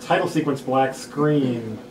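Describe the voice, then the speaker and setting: A man's voice: medium pitch, slow pace, darkish tone. A man reading stage directions as narrator at a table read of a script. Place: room at a group table read. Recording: echoey room, distant mic, hiss.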